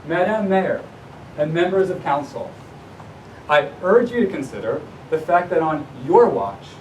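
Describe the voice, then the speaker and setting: A man reading a prepared public comment to a town council, speaking formally and earnestly with deliberate emphasis. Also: sing-song, a little mellow. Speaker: resident addressing a town council. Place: indoor public council meeting. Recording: distant mic, noisy room with low rumble.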